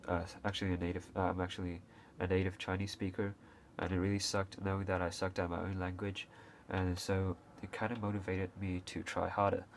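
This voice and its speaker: Young guy from hong kong, really low voice, lots of uhs and restarts, bit of faint hiss. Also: level tone, brisk, few pauses. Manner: hesitant, many fillers and restarts, occasional coughs. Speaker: video creator. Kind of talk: casual unscripted talk to camera.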